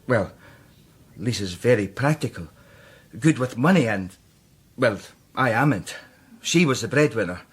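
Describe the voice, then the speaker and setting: An actor playing a brooding, guilt-ridden Scottish composer, intense and defensive, in a radio drama. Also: male voice, medium pitch, lively intonation, a little slow, slightly rough. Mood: flat, resentful.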